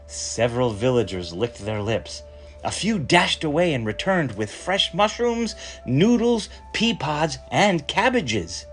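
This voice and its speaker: A man reading a children's story aloud in a measured, expressive storytelling manner. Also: on the high side, soft background music. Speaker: story reader.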